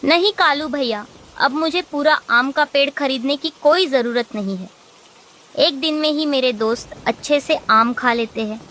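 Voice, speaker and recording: Woman voicing a high, bright kindly sparrow. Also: a little slow, slight background noise. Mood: confident. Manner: friendly, assured. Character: kind, helpful, clever female sparrow. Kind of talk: animated children's moral story dialogue.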